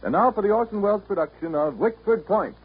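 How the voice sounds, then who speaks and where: A male radio announcer giving a savoring, dramatic 1930s sales pitch. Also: fast, heavy background noise. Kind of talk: 1930s radio advertising read. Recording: tinny 1930s radio recording.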